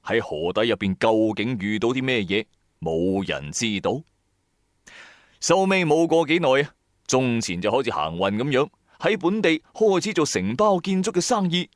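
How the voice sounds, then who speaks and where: A man's voice: mid-range voice, sing-song, normal speed, dry recording, studio quality. A man narrating a supernatural radio tale in colloquial Cantonese, suspenseful and full of rhetorical questions. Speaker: storyteller. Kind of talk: animated colloquial ghost-story narration.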